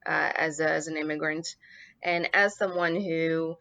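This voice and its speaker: A woman from Morocco, a congressional candidate, answering interview questions in an earnest, measured way with frequent um and uh. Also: sits low, steady tone, bright, forceful tone, no echo. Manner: earnest, measured, many fillers. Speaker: political candidate, guest.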